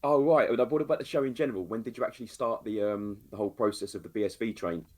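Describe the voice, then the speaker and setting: A man's voice: lowish voice, fast talker, darkish tone. A man joining a livestream as a guest, friendly and generous with praise. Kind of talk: casual livestream chat.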